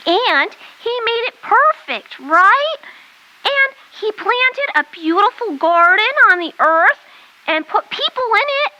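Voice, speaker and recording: Puppet show: a whiny baby girl, squeaky and high, slow and bright. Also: sing-song, heavily acted, poor audio. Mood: questioning. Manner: wailing, whiny, slow and drawn-out.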